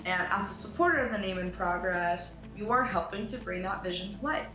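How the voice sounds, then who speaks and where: A woman giving an articulate, earnest scripted pitch as a filmmaker presenting her project, with some light asides. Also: brisk, pushed and bright. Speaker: animator and writer pitching a short film. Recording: roomy echo with music behind. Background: music.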